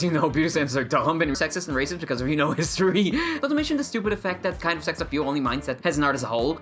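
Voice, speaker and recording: A woman's voice: low-pitched, a bit bright. A woman giving casual, sarcastic commentary on game mods, with playful mock-dramatic rants. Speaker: gaming video creator.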